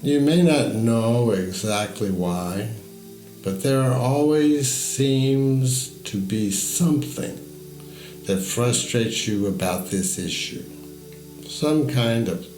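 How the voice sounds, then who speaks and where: A man narrates a guided meditation as a story, in a measured, deliberate manner with pauses between phrases. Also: lowish voice, a little mellow. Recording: echoey voice over music. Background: music.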